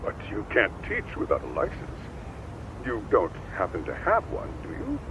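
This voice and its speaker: A man's voice: lowish voice, huge pitch swings, normal speed, creaky, heavily acted. A man voicing a polite, officious school administrator in a video game, formal and fussy, over dull, noisy audio. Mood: politely skeptical.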